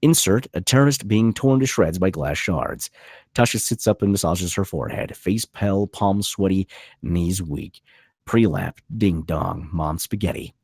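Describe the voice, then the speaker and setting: A deep, husky man reads stage directions at a film table read. His scripted narration moves with very expressive pitch as he gives scene headings and action. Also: a little slow, no echo, studio quality.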